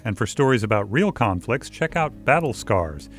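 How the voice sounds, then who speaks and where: A man hosting a podcast network's season trailer, reading a scripted promo in a smooth, upbeat announcer's voice. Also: mid-range voice, sing-song, normal speed. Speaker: network host presenting a trailer. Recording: soft music underneath.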